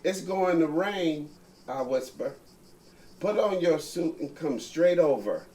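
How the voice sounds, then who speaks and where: A man reads a children's picture book aloud in slow, expressive turns. His voice sits somewhat high for a man. Crickets chirp faintly behind him. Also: slight background noise. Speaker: co-reader of the story. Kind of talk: bedtime story reading.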